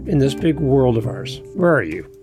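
A man's voice: mid-range voice, big pitch swings, moderate pace, gravelly, over music. A man hosting a podcast, welcoming his guest in an eager, upbeat way. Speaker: podcast host. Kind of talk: friendly interview hosting.

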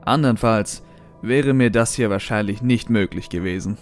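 A man playing a treacherous, condescending scientist in an audio drama, quietly piercing and fanatically devoted to his master. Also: fairly low pitch, normal speed, faint music behind, close to an everyday voice. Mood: smug, scornful. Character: traitorous male scientist mentor, cold, gleeful, fanatically devoted.

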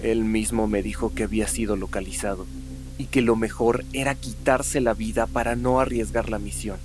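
An actor playing a serious, sceptical ex-soldier, firm and deliberate, in a Spanish-language radio drama. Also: male voice, on the low side, fairly even intonation, music in the background, natural voice. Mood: grave.

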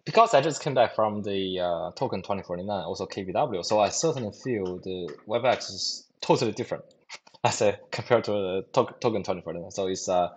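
Male founder guest, very low pitch, hesitant with lots of fillers and false starts. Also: brisk, slightly rough. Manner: hesitant, many fillers and restarts, non-native phrasing. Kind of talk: casual interview answers.

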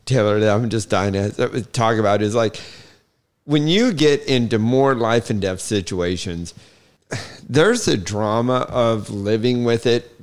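A man's voice: on the low side, a little slow. A man co-hosting a horse podcast, asking long, rambling questions and laughing often. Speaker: podcast co-host. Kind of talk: casual interview chat.

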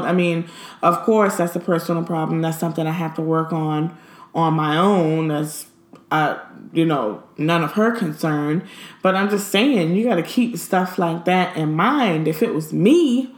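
Thirties woman, frank indignant vlog monologue, slightly low voice, roomy echo. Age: 30s. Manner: emphatic, indignant, stresses words slowly. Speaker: pregnant vlogger. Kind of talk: casual venting monologue.

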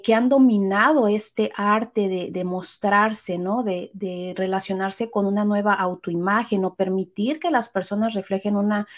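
A woman speaks in an encouraging, well-spoken manner, like a coach inviting her listener to aim higher. Her voice sits at an ordinary middle pitch for a woman, and she talks at an even, conversational pace. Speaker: podcast co-host. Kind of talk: casual podcast conversation.

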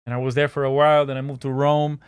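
A man's voice: mid-range voice, level tone, fast talker, clean tone, no echo, studio quality. A man from Venezuela chatting casually as the host of an interview about his craft. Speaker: host, music production streamer.

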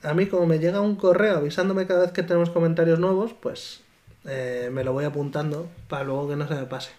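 High-voiced laughing man. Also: fairly even intonation, fairly quick, darkish tone. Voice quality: higher voice than his co-host. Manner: laughs often, reads listener comments aloud. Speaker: podcast co-host. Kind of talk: casual chat.

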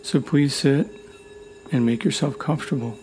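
A man leading a guided meditation in a soothing, deliberate way, leaving long pauses between short phrases. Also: mid-range voice. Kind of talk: guided meditation, gentle instruction. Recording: loud humming meditation tones throughout. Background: gentle music with sine tone and singing bowls.

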